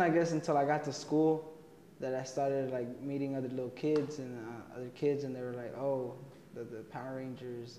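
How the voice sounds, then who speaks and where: Young Texan man, mellow voice, echoey room. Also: medium pitch, a little hiss or hum. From Texas. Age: young adult. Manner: relaxed, many fillers and restarts. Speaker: college student interviewee. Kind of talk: casual interview answers. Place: indoor room, plain wall.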